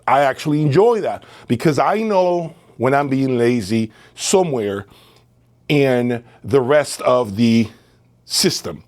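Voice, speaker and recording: Male reviewer, medium pitch, casual and emphatic, leans on key words by repeating them. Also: animated, takes it slowly, a little mellow. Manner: emphatic, repeats phrases for stress. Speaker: host of an audio equipment review channel. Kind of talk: casual product review talk to camera.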